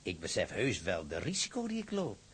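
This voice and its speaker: A self-assured, shifty informer has a very raspy voice. His pitch moves about dramatically, in radio-drama style. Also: huge pitch swings, brisk, a bit bright, heavily acted. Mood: sly, confident.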